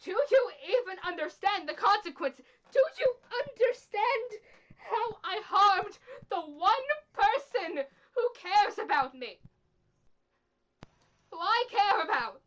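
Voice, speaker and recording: Woman acting high-voiced, slow, anguished killer. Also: sing-song, a bit bright, heavily acted, poor audio. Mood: tearful, furious. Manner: dramatic, deliberate, accusing delivery with pauses. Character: anguished, accusing revived killer, bitter and remorseful. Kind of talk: dramatic character monologue.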